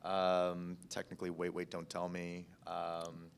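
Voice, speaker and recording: A man's voice: low voice, monotone, measured pace, gravelly, smooth. An American man speaking informally and conversationally as a podcast producer on a panel. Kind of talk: casual panel discussion.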